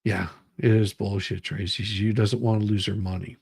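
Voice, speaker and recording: A man reading documents aloud and commenting on them in a halting, deliberate way. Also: lowish voice, little pitch movement, gravelly, darkish tone, slightly rough, no echo, studio quality. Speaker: commentary show host.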